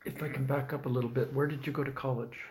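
A man conducting an oral history interview, asking measured, polite questions with some hesitation. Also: medium pitch, steady tone, poor audio. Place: old building, a former dormitory.

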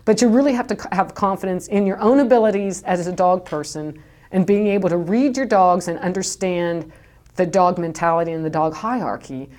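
A woman's voice: on the low side, fairly quick, a little mellow. A woman who runs a dog kennel, talking casually in a recorded interview with many fillers and restarts. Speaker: dog kennel owner, guest. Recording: home tape recording.

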